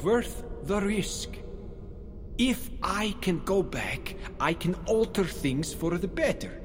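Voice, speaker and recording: An actor playing a defiant, calculating Russian commander with menacing, idealistic conviction in an audio drama. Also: male voice, sits high, lively intonation, takes it slowly, big-room sound, a little hiss or hum. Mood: coolly grandiose.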